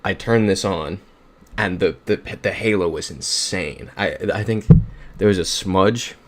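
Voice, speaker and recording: A man's voice: low-pitched, a little slow, a bit bright, a little hiss or hum. A young man streaming casually from a home room at a desk mic, upbeat, thinking aloud and reading out chat messages. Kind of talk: casual livestream commentary.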